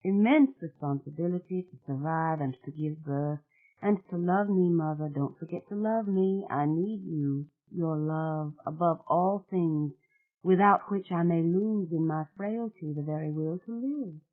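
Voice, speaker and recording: A woman voicing an eerie newborn girl who pleads in a soft, rapid sing-song, heard with a tinny, phone-like sound. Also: low-pitched. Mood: pleading.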